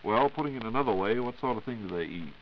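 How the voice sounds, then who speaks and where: An American man hosting a scripted 1940s radio question-and-answer show in a formal, measured announcer's delivery. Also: lowish voice, animated. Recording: dull, noisy 1940s radio recording.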